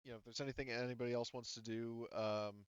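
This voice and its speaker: A man's voice: medium pitch, fairly even intonation, quick pace, clean tone, no room sound, highs cut off. A man casually talking through a tabletop game as its game master, with informal, sweary phrasing.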